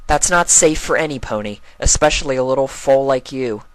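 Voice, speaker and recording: A performer of unclear sex voicing a grown-up mare, gently firm, telling a cautionary tale in a cartoon pony story. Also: mid-range voice, expressive, brisk, no room sound, pitched above the performer's own voice, lightly acted, low-quality recording. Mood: stern.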